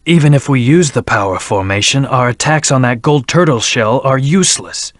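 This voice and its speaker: A man's voice: medium pitch, expressive, normal speed, dry recording, clean studio sound, close to an everyday voice. A man voicing a brooding, stern hedgehog antihero in a video game, speaking in a terse, serious, controlled way. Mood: stern, focused. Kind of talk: video game voice acting, scripted hints and battle lines.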